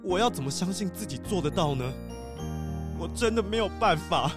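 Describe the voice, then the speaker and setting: A woman's voice: on the low side, expressive, fairly quick, loud music behind the voice, close to an everyday voice. A woman voicing a despondent, remorseful young animal runner in a children's audio drama. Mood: despairing.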